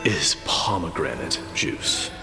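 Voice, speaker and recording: A man voicing a haughty, scornful warrior in a video game, disdainful and deliberate in delivery. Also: a bass voice, expressive, full character voice. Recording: echoey, with loud music underneath. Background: loud background music.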